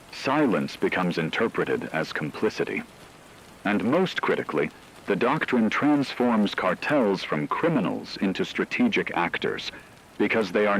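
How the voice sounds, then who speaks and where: Guy acting as a solemn radio war anchor, really low voice, slow deliberate phrasing, noisy rainy background. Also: creaky, fairly bright tone, exaggerated voice. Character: grave male radio news anchor in a war crisis. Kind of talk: scripted emergency radio broadcast narration.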